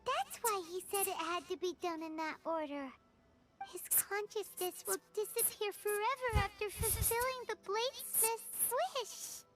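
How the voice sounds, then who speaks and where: A woman voicing a tiny, chirpy floating fairy companion in a video game, with a high childlike voice, music playing behind. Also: unhurried, fairly bright tone. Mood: explaining. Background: background music.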